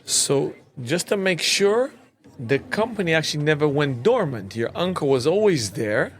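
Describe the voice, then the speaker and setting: A man hosting a conversational interview, deliberate in how he lays out his points. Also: fairly high pitch, very animated. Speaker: interview show host.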